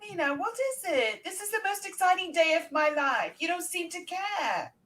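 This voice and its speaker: A woman playing an excitable young society bride-to-be in a comedic radio play, gushing with drawn-out words. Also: extremely high pitch, recorded from a distance, voice pushed up, exaggerated voice. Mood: pleading excitement.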